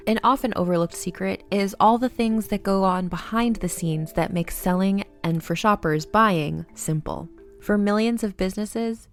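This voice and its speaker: A woman reading a scripted advertisement voice-over in a polished, measured sales delivery. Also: medium pitch. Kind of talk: scripted ad narration.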